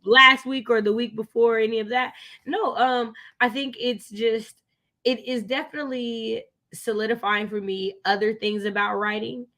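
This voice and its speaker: A woman's voice: on the high side, steady tone, normal speed, pushed and bright. An American woman chatting casually about books as a co-host, opinionated and emphatic, often repeating phrases to drive a point home. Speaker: co-host of a book readalong live discussion. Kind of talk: casual, opinionated book chat.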